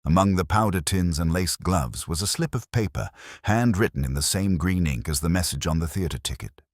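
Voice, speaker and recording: Man, mystery audiobook narration, extremely low pitch, lively intonation, wry, measured. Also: no echo, clean studio sound. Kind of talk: scripted mystery story narration.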